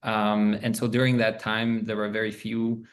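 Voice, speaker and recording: A man in his thirties, from Canada, speaking as a professor on an online alumni panel, halting and laughing between thoughts. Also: on the low side, flat delivery. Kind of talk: casual panel talk. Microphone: video call.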